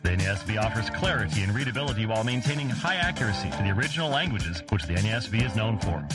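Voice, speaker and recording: A man's voice: fairly low pitch, very animated, very fast, loud music behind the voice. A man reading a scripted radio advertisement in an upbeat, promotional manner. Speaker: ad announcer.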